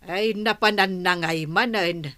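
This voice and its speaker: A slow-talking elderly Ilocano grandmother. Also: fairly low pitch, pushed and bright. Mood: resigned. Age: older. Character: elderly village grandmother, unhurried, kindly, resigned. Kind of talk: Ilocano radio drama acting.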